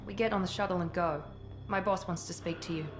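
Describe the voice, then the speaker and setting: A woman's voice: fairly low pitch, fairly even intonation, fast talker, noisy background, natural voice. A woman playing a cool, self-assured, ruthless covert operative in a video game, with a clipped, haughty, businesslike delivery. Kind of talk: video game cutscene acting.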